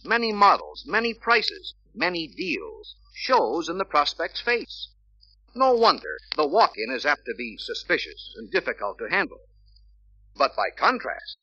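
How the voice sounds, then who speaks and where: An American man reading scripted sales-training narration in an emphatic, deliberate, persuasive way on a vintage film soundtrack. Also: on the high side, pushed and bright, slightly rough. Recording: dull vintage filmstrip soundtrack, faint hiss.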